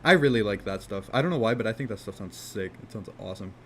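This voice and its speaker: A man with a lowish, fairly monotone voice chats loosely while he codes on a live stream, swearing casually and circling back on his thoughts. A faint hiss of noise sits under the sound of his desk mic. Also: fast talker. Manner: rambling, laid-back, swears casually, frequent "like" fillers. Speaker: game dev streamer.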